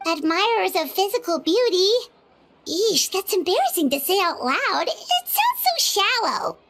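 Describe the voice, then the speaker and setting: A woman voicing a tiny, excitable, childlike fairy companion in a video game, with an exclamatory way of talking. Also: very high voice, unhurried, slight background noise, exaggerated voice. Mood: puzzled, cheeky. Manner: exclamatory, speaks of self in third person.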